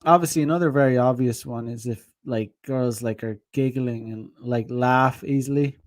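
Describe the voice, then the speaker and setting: A man's voice: mid-range voice, steady tone, moderate pace, darkish tone. A man talks loosely on a casual stream, circling back on himself with constant fillers and frequent laughs. His voice sits in an ordinary middle range. The sound is dry and close, with no room echo. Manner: rambling, heavy on fillers, laughs often. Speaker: dating advice streamer. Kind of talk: casual rambling livestream talk.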